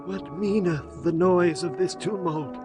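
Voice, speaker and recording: A man's voice: sits high, unhurried, over music. A man playing an anxious, solemn, very old blind priest in dramatized scripture. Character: anxious, blind ninety-eight-year-old priest and judge.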